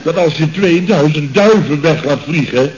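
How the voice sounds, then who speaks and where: An exasperated male boss played by a man in an old radio comedy, blustering with heavy sarcasm in a fairly high voice. The recording is muffled, with clear noise. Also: normal speed, a little mellow, close to an everyday voice. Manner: blustering, incredulous, heavily sarcastic. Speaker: comedy actor. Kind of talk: 1970s Dutch radio comedy acting.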